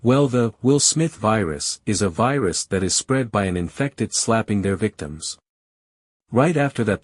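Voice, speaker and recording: A man with a husky voice reading a scripted emergency broadcast in a serious, measured manner. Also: on the low side, a little mellow, no echo, studio quality. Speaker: emergency announcer.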